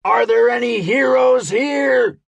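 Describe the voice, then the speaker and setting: A man's voice: a little high, animated, slow pace, dry recording, muffled recording, voice pushed up, exaggerated voice. A man voicing a rousing fantasy mercenary leader in an audiobook, giving an impassioned, declamatory speech to an army before battle. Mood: roaring, fervent. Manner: impassioned, declamatory, shouting oratory. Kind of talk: audiobook fantasy character acting.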